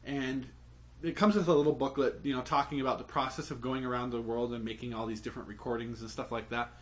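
A man co-hosting a podcast, explaining a topic at length in relaxed chat. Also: medium pitch, fast. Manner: explains at length, with fillers and restarts. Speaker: podcast co-host. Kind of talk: casual chat.

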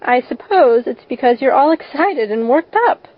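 A woman's voice: fairly high pitch, close to an everyday voice. Woman playing a stern, no-nonsense older guardian woman. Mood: disapproving. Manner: firm, brisk, matter-of-fact. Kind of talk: audiobook character voice. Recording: slightly dull lo-fi recording.

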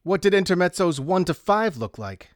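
Man voicing a wry, animated smart-aleck prankster. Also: sits high, normal speed, no echo, studio quality, pitched above the performer's own voice. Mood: teasing. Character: wry, teasing male prankster artist, deadpan needler.

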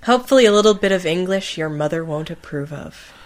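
A woman's voice: lowish voice, animated, fast talker, darkish tone. A woman co-hosting a podcast in casual banter, with a joking, sarcastic manner. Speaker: podcast co-host.

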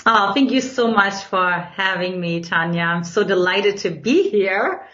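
A woman's voice: mid-range voice, unhurried. German-accented woman in an echoey room. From Eastern Germany. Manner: earnest, reflective, expressive storytelling. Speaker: guest, dating coach. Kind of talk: podcast interview storytelling. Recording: echoey, dull lo-fi sound.

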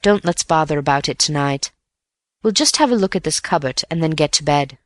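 Woman doing a calm thinking-it-through detective guy, even and measured, lowish voice, dry sound. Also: no echo. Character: calm, thoughtful young man detective. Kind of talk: audiobook character voice.

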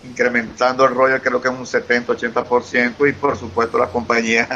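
A man's voice: medium pitch, flat delivery, quick pace, sharp tone. A man from Venezuela with a Venezuelan Spanish accent, chatting as an interview guest, effusive and eager, often repeating himself. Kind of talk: casual interview conversation. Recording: lo-fi with faint hiss.

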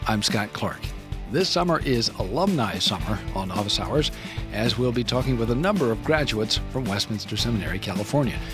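A man hosting a radio interview, introducing his guest and asking measured, friendly questions. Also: lowish voice, expressive, music in the background. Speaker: radio host.

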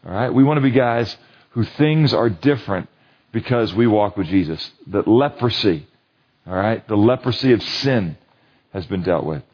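A man's voice: on the low side, lively intonation, normal speed, breathy edge, dull audio. A man leading a men's Bible study, preaching in a conversational, emphatic way and often checking that listeners agree. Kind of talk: sermon.

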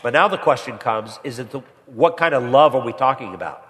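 A pastor preaching a sermon with a stuffy head cold, climbing higher and louder when he presses a point. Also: animated, a little fast. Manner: rises in pitch and volume when pressing a point. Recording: long hall reverb.

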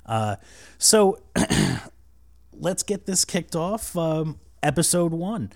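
A man's voice: on the high side, very animated, extremely slow, a little mellow. A man hosting a casual podcast, rambling with many fillers and restarts, a little nervous, on a cheap microphone. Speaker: podcast host.

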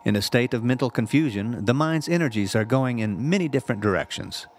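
A man reading a scripted spiritual text aloud in a measured, deliberate, instructive way. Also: medium pitch, expressive, a little hiss or hum. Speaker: audiobook-style reader, reverend.

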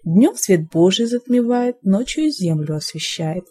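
A woman's voice: medium pitch, lively intonation, a little slow, mellow, breathy edge. A woman voicing an earnest, lovesick young prince in a verse fairy tale, with a wistful manner. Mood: wistful wonder.

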